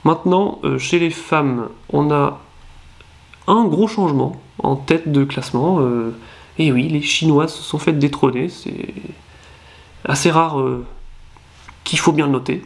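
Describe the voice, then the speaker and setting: A man's voice: fairly high pitch, a little slow, creaky, long pauses. A young man from France with a cold, hosting his own channel and reading through rankings in a casual, hesitant way, full of fillers and self-corrections. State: nasal voice from a cold. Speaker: channel host.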